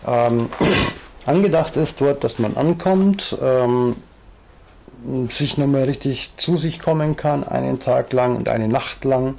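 A man in his fifties with a strong Bavarian accent, chatting from an armchair into a distant webcam in a low-quality home recording. His voice is gravelly and dark, a little husky, and he rambles from one thought to the next with plenty of fillers. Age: 50s. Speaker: vlogger. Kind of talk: casual storytelling monologue, reading aloud. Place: living room, seated in armchair.

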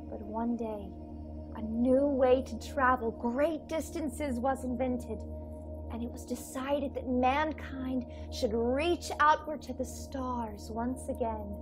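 A woman in her twenties acting a gentle mother, once a starship engineer, in a radio play over a video call. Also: on the high side.

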